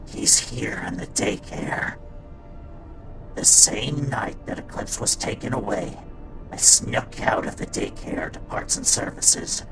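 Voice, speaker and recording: A performer playing a guilt-ridden male robot daycare attendant in a fan comic dub, halting and sombre, with a gravelly voice that drops into whispers. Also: low voice, heavily acted. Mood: haunted regret.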